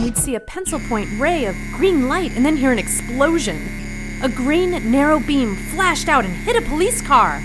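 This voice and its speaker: A young 1940s heroine narrating an audio drama. Her voice is high and very expressive, earnest and anxious in its storytelling. Soft music runs behind her. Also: rough recording.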